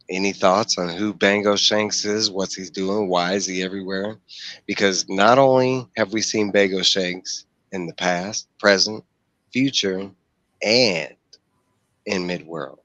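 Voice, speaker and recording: A man's voice: deep voice, a bit bright, no echo, rough recording. A man in his forties hosting a casual book discussion, explaining things and putting questions to the group over a headset mic. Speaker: host of a book discussion stream. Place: small room at home, video call. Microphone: headset microphone.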